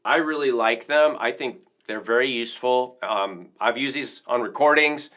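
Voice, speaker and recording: A man hosts an informal livestream in a bright, crisp-edged voice at an average male pitch. He talks like a percussion teacher showing off favorite gear, rambling along casually with clear enthusiasm. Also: moderate pace.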